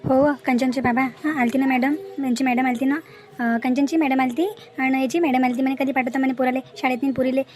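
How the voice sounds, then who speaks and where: A woman's voice: high-pitched, fairly even intonation, quick pace, darkish tone, natural voice. A woman voicing a practical, worried mother of a poor village family in a moral-story cartoon, with a Varhadi Marathi accent. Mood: matter-of-fact. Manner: matter-of-fact, fretful.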